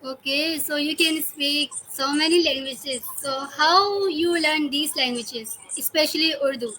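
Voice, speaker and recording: High, bright-voiced female podcast interviewer. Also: poor audio. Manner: halting, chatty non-native English. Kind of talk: casual remote video interview.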